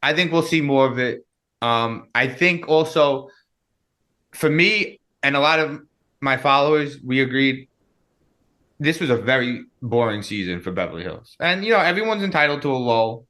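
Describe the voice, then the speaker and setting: A man's voice: mid-range voice, animated, normal speed, sharp tone. A young adult man as a podcast guest, chatting and gossiping casually with plenty of likes and ums. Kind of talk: casual chat.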